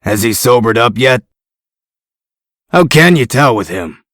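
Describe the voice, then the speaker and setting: A man's voice: mid-range voice, big pitch swings, a little slow, fairly bright tone, no room sound. Man playing a cynical, grumbling tough guy, dry sound. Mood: irritated, sardonic. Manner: sardonic, grumbling. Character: gruff, cynical, irritable male detective type. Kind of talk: comic dub acting.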